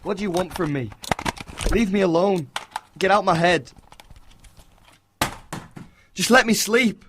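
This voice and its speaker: A man's voice: sits high, takes it slowly, long pauses, fairly bright tone, a little hiss or hum. An actor playing a guilt-ridden, sleepless young man in an audio horror drama. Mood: agitated, desperate.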